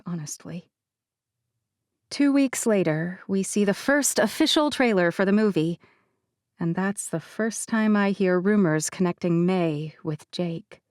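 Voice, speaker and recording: A woman's voice: mid-range voice, no echo. A woman reading a romance audiobook in the first person, wry and confiding, in a conversational tone, close to a studio mic. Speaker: audiobook narrator.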